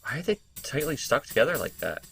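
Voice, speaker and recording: A man playing a game who reads the on-screen text aloud and then thinks it through in halting, casual commentary. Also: mid-range voice, very animated, gravelly, bright, forceful tone, faint music behind. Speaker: let's play host. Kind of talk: reading game text and reacting.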